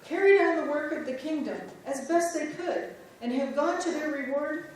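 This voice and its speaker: High-voiced woman reading solemnly in a church. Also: normal speed, a little hiss or hum. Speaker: reader. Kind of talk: formal reading of a historical letter. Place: church sanctuary. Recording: echoey church sanctuary, distant mic.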